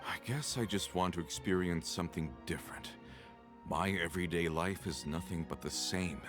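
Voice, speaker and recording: A man's voice: sits low, normal speed, music in the background, natural voice. A middle-aged man voicing a weary working man in a video game, resigned and plain-spoken.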